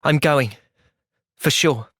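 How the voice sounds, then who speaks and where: A man voicing a thoughtful, loyal young hero in a video game, with an earnest, measured delivery. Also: a little high, pushed and bright, dry recording, studio quality, exaggerated voice. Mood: pensive. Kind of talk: video game cutscene voice acting.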